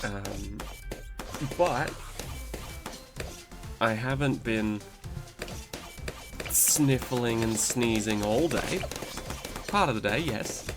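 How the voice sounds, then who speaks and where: Laid-back gaming host, congested and nasal, mid-range voice, music bed behind. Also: male voice, medium pitch, drawn-out words, pauses often. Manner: rambling, laid-back, swears casually. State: recovering from a cold, stuffy nose. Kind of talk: casual commentary over gameplay.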